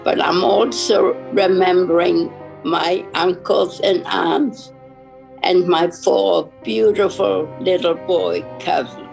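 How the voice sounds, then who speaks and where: This is a man in his nineties from Germany, speaking in a solemn remembrance with a gravelly, rough-edged voice. He talks very slowly, reciting dates and names with care. Soft violin music plays quietly behind him. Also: sits high, steady tone, rough recording. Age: 90s. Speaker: Holocaust survivor lighting a memorial candle.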